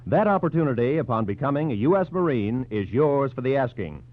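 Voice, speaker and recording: Higher-voiced American 1940s radio announcer man. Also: very animated. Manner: polished, formal, measured announcer delivery. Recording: dull 1940s radio recording with music. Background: music.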